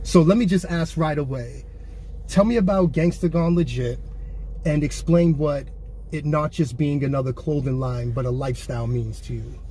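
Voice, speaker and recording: A man hosting a candid interview in a car, conversational and quick to agree. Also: a little high, mellow, slight background noise. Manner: affirming, conversational. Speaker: interview host. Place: inside a car.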